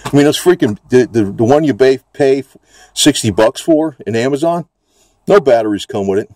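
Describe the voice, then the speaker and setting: A man's voice: mid-range voice, animated, normal speed, a little mellow, dry recording. An American man talking casually and off the cuff as he reviews a product, rambling and plain-spoken with some mild swearing. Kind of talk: casual unscripted product chat.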